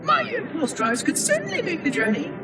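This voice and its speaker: A man voicing a lonely, fussy, slowly unravelling ancient robot caretaker in a video game, musing formally in a synthetic voice. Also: extremely high pitch, huge pitch swings, a loud crowd, full character voice. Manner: formal, verbose, musing monologue. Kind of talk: scripted video game cutscene narration. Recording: echoing, distant, under loud music. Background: loud music.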